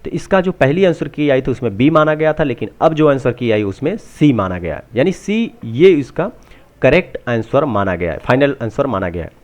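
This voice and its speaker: A man talks through exam updates unscripted, halting and often restating what he has just said. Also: mid-range voice, sing-song. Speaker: presenter of exam answer-key updates. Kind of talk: unscripted walkthrough explanation.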